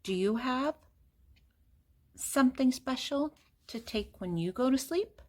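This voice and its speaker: A woman's voice: high-pitched, lively intonation, slow pace. An older woman reading a children's picture book aloud, speaking simply and directly to young listeners. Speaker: children's storybook reader.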